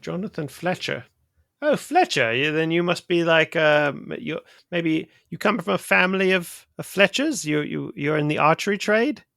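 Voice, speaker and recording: A man's voice: a little high, animated, normal speed, vocal fry, fairly bright tone, no echo, studio quality. A man voicing a small, curious male adventurer who asks cheeky questions and stammers.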